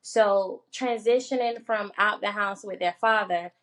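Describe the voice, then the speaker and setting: A soft-spoken woman guest telling her story earnestly in a casual chat over a video call. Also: mid-range voice, unhurried, low-quality recording. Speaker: guest, mother of two.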